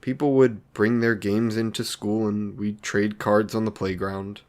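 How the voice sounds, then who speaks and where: A man playing a lonely, awkward villain in an audio romance roleplay, earnest and anxious to please, speaking haltingly and apologetically. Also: fairly low pitch, level tone, a little mellow, lightly acted. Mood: wistful, awkward.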